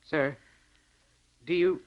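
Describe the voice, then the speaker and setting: An actor playing an eager, deferential naval chief petty officer in an old radio drama, respectful and earnest. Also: male voice, on the high side, very slow. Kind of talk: 1940s radio acting. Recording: dull old radio recording.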